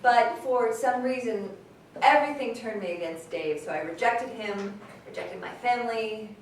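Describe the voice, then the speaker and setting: A woman's voice: on the high side, normal speed, natural voice. Woman acting chatty mom in her 60s telling her story onstage, far from mic, noisy room. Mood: candid, regretful. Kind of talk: conversational staged reading, interview-like storytelling. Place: live staged reading in a large room. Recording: echoey, noisy hall from far off.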